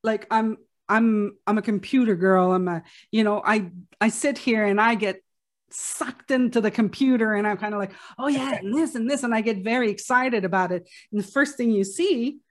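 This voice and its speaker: A woman's voice: a little high, moderate pace. A woman in her fifties hosting a podcast, chatting openly about herself with frequent restarts. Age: 50s. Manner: chatty and self-revealing, with frequent restarts and laughs. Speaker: podcast host. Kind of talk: casual interview chat.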